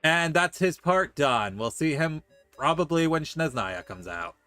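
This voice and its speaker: A man streaming a game, giving casual commentary with joking, deadpan asides. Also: on the high side, unhurried, faint music behind.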